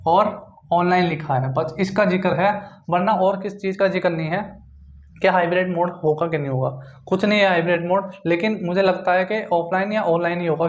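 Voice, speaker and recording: Guy explaining exam updates, kinda high voice, repeats stuff a lot, roomy muffled audio. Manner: repetitive, punctuated with check-in tags. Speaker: exam-news presenter for job aspirants. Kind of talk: informal explainer addressed to viewers. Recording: dull lo-fi, roomy echo.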